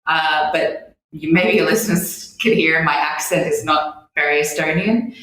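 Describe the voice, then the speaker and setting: A woman's voice: sits low, steady tone, unhurried, a bit bright. A woman in her thirties with an Australian accent, chatting as an interview guest with frequent ums and easy laughs. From born in New Zealand, raised in Australia. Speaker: guest, head of content. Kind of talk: casual conversation. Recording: very echoey room.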